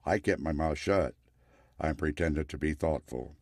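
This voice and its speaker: A man narrating a scripted story in a measured, wry way. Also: extremely low pitch, no echo, studio quality.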